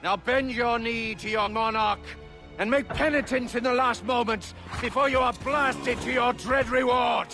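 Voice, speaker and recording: A man's voice: very high voice, fairly even intonation, normal speed. A man playing an arrogant, mad tyrant king in a video game, declaiming pompously with scornful menace. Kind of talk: theatrical video game villain acting. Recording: music behind the voice.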